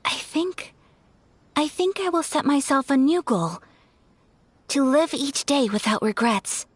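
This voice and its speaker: A woman playing a gentle, refined young noblewoman in a video game, speaking politely and earnestly in a formal, measured way. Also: high-pitched, lively intonation, no room sound. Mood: nervous, shy. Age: young adult. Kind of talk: video game character acting.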